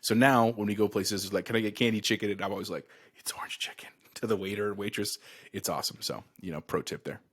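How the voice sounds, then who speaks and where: A man co-hosting a casual gaming podcast, telling relaxed stories and chuckling easily. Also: fairly low pitch, fast talker. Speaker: podcast co-host.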